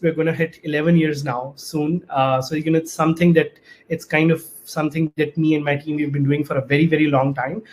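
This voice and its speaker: A man speaking on a video-call panel, earnest and reflective, often pausing to search for the right words. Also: a little high, slight background noise. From Pakistan. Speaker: panelist, event organizer. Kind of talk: casual panel talk over a video call.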